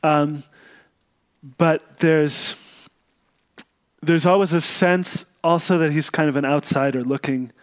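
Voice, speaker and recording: Soft-spoken monk, a touch higher voice. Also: male voice, fairly even intonation, slow. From Austria and the U.S. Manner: thoughtful, searching for words. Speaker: monk and guest. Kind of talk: podcast interview.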